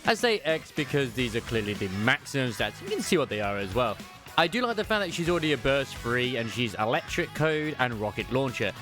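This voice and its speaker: A man's voice: mid-range voice, very animated, fairly quick, over music. A man hosting a gaming video with casual, chatty commentary on a character's skills. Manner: chatty, self-correcting asides. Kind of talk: casual game kit commentary, reading skill text aloud.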